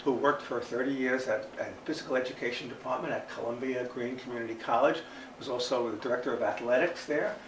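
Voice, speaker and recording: A man with an American accent introducing a guest speaker in an informal, halting way. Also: medium pitch, fairly even intonation, fairly bright tone. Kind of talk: event introduction. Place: meeting room. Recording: echoey room, distant mic, faint noise.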